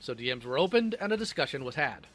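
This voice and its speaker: A man's voice: medium pitch, sing-song, fast talker, a bit bright, faint music behind. A man's synthetic robot voice reading a scripted, sardonic narration. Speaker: video creator responding to allegations.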